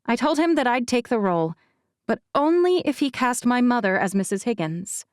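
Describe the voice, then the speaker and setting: Woman as a guarded young actress, higher-pitched voice. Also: animated, normal speed, darkish tone, smooth, no echo, studio quality, natural voice. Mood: wry, resigned. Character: guarded, self-effacing young actress recounting her childhood. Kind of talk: audiobook character dialogue.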